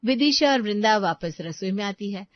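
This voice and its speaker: A woman's voice: mid-range voice, animated, moderate pace, dry recording, muffled recording. A woman narrating a scripted story as a storyteller.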